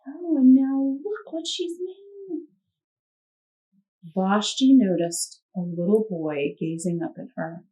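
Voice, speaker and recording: Slow-paced woman reading a picture book. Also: medium pitch, rough recording. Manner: expressive, gently prompting. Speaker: puppet show storyteller. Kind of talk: read-aloud picture book with conversational questions.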